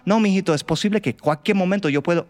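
A man's voice: high voice, very fast, pitched above the performer's own voice, close to an everyday voice. A man acts out an aged grandmother in a live story, in a raised voice. She slips between Spanish and English, teasing and matter-of-fact about mortality. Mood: wry, matter-of-fact.

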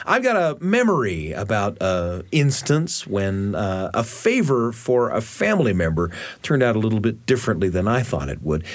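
Expressive male storyteller with animated pitch. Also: no room sound. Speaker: radio show host and storyteller. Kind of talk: scripted personal storytelling narration.

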